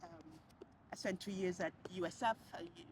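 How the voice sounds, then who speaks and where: A woman's voice: fairly low pitch, very animated, moderate pace, a little hiss or hum. A woman, speaking in a casual street interview with many restarts and fillers, thinking aloud as she talks. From Cameroon. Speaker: interviewee, passerby. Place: outdoors on a city street.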